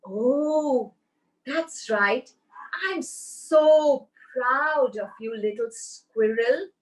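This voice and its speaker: Woman doing a wise, gentle mama squirrel. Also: high-pitched, extremely slow. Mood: soothing. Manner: soothing, coaxing.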